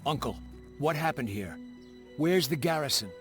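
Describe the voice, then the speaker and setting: An actor playing a bold, gruff colonial mercenary commander in a video game, commanding and dryly sardonic. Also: male voice, a little high, expressive, moderate pace, natural voice. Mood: urgent. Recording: orchestral music behind the voice.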